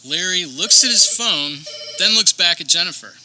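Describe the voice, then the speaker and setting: Bright-voiced male radio play narrator. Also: high-pitched, expressive, moderate pace, slight background noise, poor audio. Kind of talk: scripted comedic narration.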